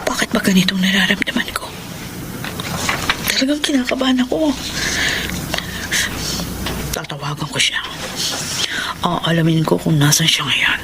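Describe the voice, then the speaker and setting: A woman's voice: lowish voice, noisy background, natural voice. A woman playing an anxious, suspicious working wife in a Tagalog radio drama, often murmuring worries to herself. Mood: worried. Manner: murmured worried asides to herself, halting replies.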